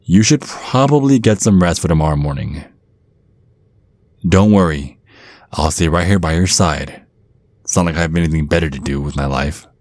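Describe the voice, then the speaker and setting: A man performs a centuries-old vampire bodyguard in an intimate ASMR roleplay. His male voice sits low, with a gruff, brooding earnestness that is fiercely protective. The sound is dry, with no room around it. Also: normal speed, pauses often, a little mellow, no echo. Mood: grudgingly reassuring.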